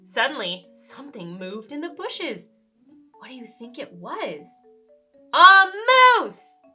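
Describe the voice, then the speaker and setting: A woman reading a children's storybook aloud in an expressive way, speaking directly to young listeners. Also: fairly high pitch, unhurried, soft background music. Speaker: children's read-aloud host. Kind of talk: animated children's storybook narration.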